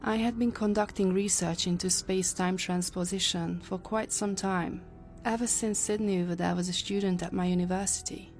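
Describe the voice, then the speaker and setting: A woman's voice: on the low side, fairly even intonation, moderate pace, darkish tone, faint music behind, natural voice. A woman playing an earnest, grave time-travel scientist in an audio drama. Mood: grave explaining.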